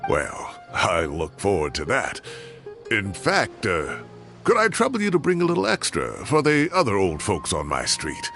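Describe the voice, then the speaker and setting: A man's voice: fairly low pitch, wildly swooping pitch, unhurried, creaky, pushed and bright, faint music behind, exaggerated voice. A man voicing a genial, grandfatherly old professor in a video game, folksy and good-humoured with mock seriousness. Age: older. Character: genial old professor, proud amateur tomato farmer, grandfatherly.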